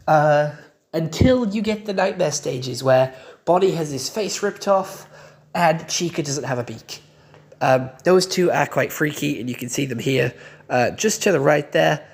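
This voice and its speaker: A young man with a slightly croaky voice talks through a game review in an easygoing, chatty way. He drifts between thoughts with plenty of uhs and ums and drops in casual swears along the way. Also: fairly high pitch, unhurried. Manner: chatty and loose, with frequent uh and um fillers and casual swearing.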